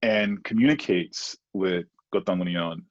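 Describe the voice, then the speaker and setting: A man with a very low voice speaks as a panelist, pausing and restarting his phrases often. The sound is dry and close, with no room around it. The audio is dull and lo-fi. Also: no room sound. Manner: halting, repeated restarts. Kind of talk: academic panel discussion.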